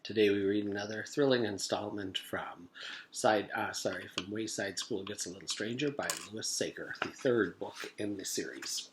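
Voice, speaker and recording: A man's voice: fairly low pitch, normal speed, a little hiss or hum, low-quality recording. A man narrating a children's book aloud as a teacher reading to his class. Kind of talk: storybook read-aloud narration.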